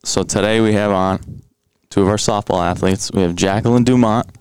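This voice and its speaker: A man's voice: fairly low pitch, fairly even intonation, moderate pace, a little mellow, clear, resonant tone, no room sound. A young man hosting a casual sports chat, upbeat and chatty with lots of fillers. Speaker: podcast host.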